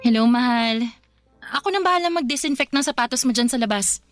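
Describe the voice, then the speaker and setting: An actress playing a caring, attentive young Filipina wife in a radio drama, conversational and solicitous. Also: female voice, on the high side, expressive, a little fast, music in the background, close to an everyday voice.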